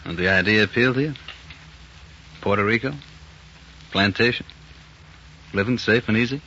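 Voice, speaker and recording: An actor playing a smooth, ruthless gangster in a 1940s radio crime drama, scheming with his lover. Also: on the low side, moderate pace, sharp tone. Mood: sly, cold. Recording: noisy, muffled old 1940s radio recording.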